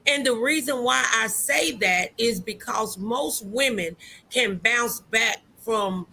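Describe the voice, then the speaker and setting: A woman hosting a conversational relationship talk show, speaking in a halting, deliberate way with drawn-out phrases. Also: on the high side, gravelly, pushed and bright, a little hiss or hum.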